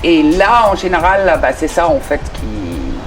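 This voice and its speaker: A woman's voice: low-pitched, very animated, unhurried, over music. A middle-aged woman being interviewed, talking conversationally with many hesitations and restarts. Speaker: interview guest.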